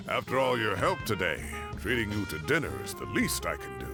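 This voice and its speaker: A genial old male professor for a video game, with wildly swooping intonation and a gravelly creak. He speaks in a grand, expressive way, with faint music behind him. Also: on the low side. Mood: generous, hearty. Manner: expressive, grandiose, drawn-out phrasing. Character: genial, theatrical older male retired professor.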